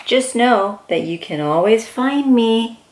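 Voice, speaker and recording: A woman reading a picture book aloud to young children as a host, with excited, encouraging exclamations. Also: a little high, slow pace, noisy background.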